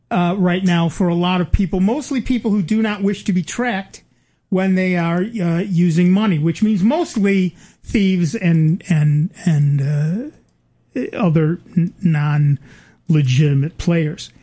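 A man's voice: sits high, takes it slowly, dark tone. An older man hosting a casual chat, rambling with many fillers and restarts and dry asides.

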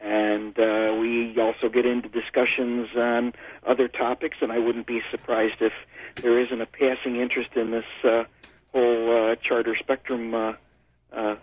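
A man with a Western New York accent calls in to a radio show, friendly and rambling, with many fillers. His voice sits in an ordinary middle pitch. The sound is thin and tinny, as if heard through a phone. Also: flat delivery, moderate pace, no echo. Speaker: caller. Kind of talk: casual call-in chat.

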